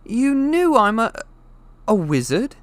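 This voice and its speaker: A man plays a young storybook boy, bewildered and earnest. The voice is lifted high, halting and incredulous as it questions. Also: sing-song, no echo, full character voice. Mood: shocked, urgent. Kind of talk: storybook character voice in an audiobook reading.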